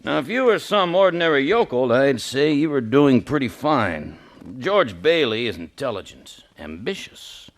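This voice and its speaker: A man playing a gruff scheming old banker. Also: mid-range voice, very animated, normal speed. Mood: smooth persuading. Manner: gruff, domineering, overbearing. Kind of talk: radio play acting.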